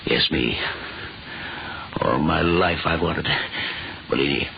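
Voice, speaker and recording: A man's voice: rumbling low, takes it slowly, vocal fry, full character voice. An actor playing a fervent, vengeful henchman hungry for power, in intense old-time radio style. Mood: pleading, fervent. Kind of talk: 1940s radio acting. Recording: muffled, noisy old radio broadcast.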